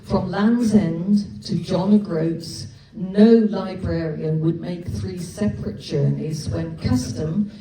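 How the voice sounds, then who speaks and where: English author with a Gloucestershire accent reading to a live audience, unhurried, distant mic in an echoey hall. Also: female voice, fairly low pitch, crowd noise. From England. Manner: chatty and self-deprecating, frequent ums. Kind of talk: live reading of her own novel.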